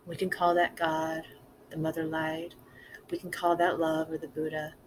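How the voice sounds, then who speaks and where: A woman's voice: low-pitched, completely flat delivery, moderate pace, low-quality recording. A woman speaking off the cuff as a spiritual teacher, earnest and emphatic, building up her points in repeated phrases. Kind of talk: impromptu spiritual talk. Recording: clear background noise.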